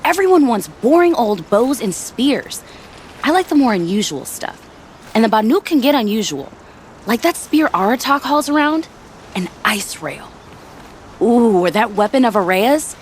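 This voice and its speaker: A woman's voice: on the high side, big pitch swings, normal speed, a little hiss or hum. A woman playing a cheerful, eager young weapons tinkerer in a video game, chatty and playful. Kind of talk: video game dramatic acting.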